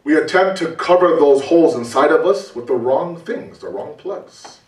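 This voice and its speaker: A man giving a conversational religious talk, animated and full of rhetorical questions and repetition. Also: fairly high pitch, moderate pace. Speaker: preacher. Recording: roomy, distant mic.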